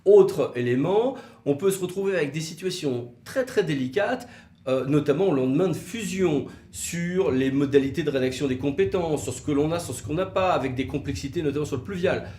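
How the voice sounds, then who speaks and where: A man presents a legal explainer in a lively, varied voice. He is didactic, leaning on key words and asking rhetorical questions. The sound is roomy and echoey. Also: a little high, brisk, slight background noise. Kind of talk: explanatory legal video talk, semi-scripted.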